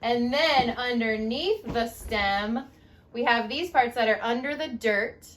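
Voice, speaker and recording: A woman teaching young children as a mother at home, reading a picture book expressively and pausing to ask simple questions. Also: a little high, slight background noise, low-quality recording. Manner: expressive, instructive, asks leading questions.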